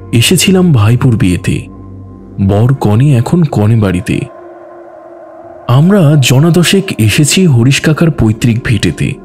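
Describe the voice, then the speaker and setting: Slow, expressive male story narrator. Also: mid-range voice. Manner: measured, expressive. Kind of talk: scripted dramatic story narration. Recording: music behind the voice. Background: background music.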